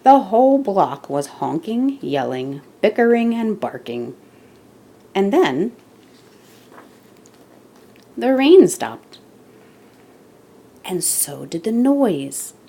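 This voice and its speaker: Slow, lively woman reading a picture book. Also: mid-range voice, gravelly, breathy edge. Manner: deliberate, expressive storytelling with dramatic emphasis. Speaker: children's story reader and host. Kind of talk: read-aloud picture book narration.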